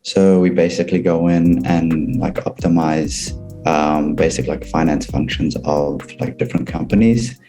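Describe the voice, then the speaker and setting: A young man from New Zealand chatting casually on a video call, relaxed and rambling with lots of fillers. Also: rumbling low, normal speed, over music. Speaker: program participant.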